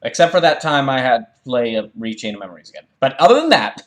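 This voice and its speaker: A man talking casually to his livestream chat as a game streamer, halting and rambling as he corrects himself. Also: mid-range voice, big pitch swings, fairly bright tone.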